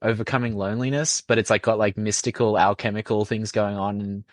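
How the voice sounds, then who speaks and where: A man's voice: lowish voice, steady tone, a little fast, no echo, clean studio sound. A man chatting casually on a podcast in informal, piecemeal phrases. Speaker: podcast participant.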